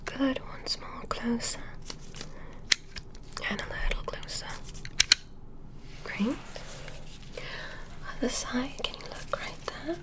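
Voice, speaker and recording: A woman voicing a gentle, curious female alien doctor, speaking in a soft, polite, inquisitive whisper close to the mic. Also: high-pitched, slow pace, pauses often. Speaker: ASMR creator. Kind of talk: whispered ASMR roleplay. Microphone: close-up ASMR mic.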